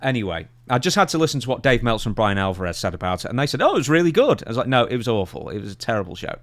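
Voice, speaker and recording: Animated man in casual podcast talk. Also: mid-range voice, racing. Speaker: podcast co-host.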